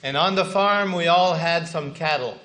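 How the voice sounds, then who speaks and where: Older Canadian-born man, bright forceful voice, steady short testimony phrases for live interpreting. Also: a little high, rough recording. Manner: plain, unhurried storytelling in short phrases. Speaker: guest speaker giving testimony. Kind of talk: testimony sermon with line-by-line interpreting.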